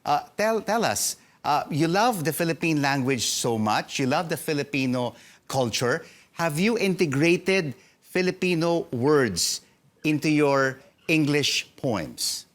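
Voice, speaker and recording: A male news anchor in a TV studio, asking measured interview questions with frequent ums. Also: fairly high pitch, animated, fairly bright tone. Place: television studio.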